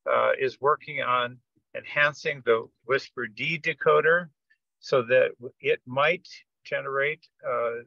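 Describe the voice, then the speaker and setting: Gravelly man on a dull conference call. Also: medium pitch, sharp tone, dry recording. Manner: halting, hesitant delivery with repeated words. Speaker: project participant giving an update. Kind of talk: technical explanation on a group teleconference. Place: remote teleconference.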